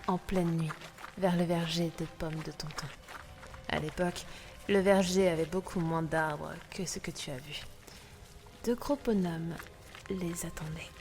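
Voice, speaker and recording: A woman playing a gentle mother who narrates a spooky bedtime tale in a measured, soothing way. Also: on the low side. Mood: suspenseful. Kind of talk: scripted bedtime-story narration, audio drama. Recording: music behind the voice.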